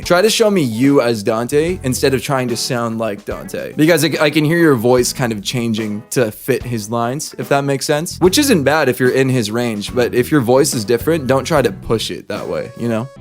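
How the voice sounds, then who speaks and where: A man's voice: medium pitch, lively intonation, normal speed, faint music behind. A man hosting a casual critique of voice acting, conversational and encouraging but candid. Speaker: host reviewing voice acting submissions.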